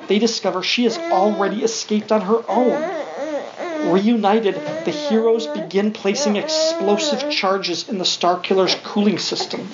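A man reading a children's storybook aloud to his young son, with playful, wry side comments. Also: high-pitched. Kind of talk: read-along children's storybook narration with casual asides. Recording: dull lo-fi sound, faint background noise.

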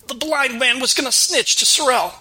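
A man's voice: very high-pitched, normal speed, pushed and bright, breathy edge, voice pushed up, exaggerated voice, poor audio. A man voicing a surly, coarse thug waiter. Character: beefy surly male thug waiter, crude.

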